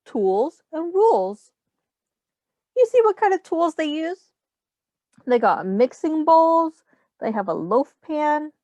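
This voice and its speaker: Slow, high-pitched woman reading to young children. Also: big pitch swings, dark tone, no echo. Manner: instructive, pointing out rhymes and addressing young children directly. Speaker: early childhood teacher reading a picture book aloud. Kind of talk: children's read-aloud with simple explanations and questions.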